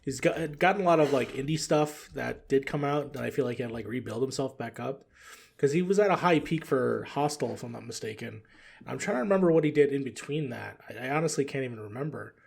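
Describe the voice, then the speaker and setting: A man's voice: mid-range voice, animated, fast, vocal fry, husky. A man chatting casually and giving his opinions in a rambling way as a podcast guest. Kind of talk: casual chat.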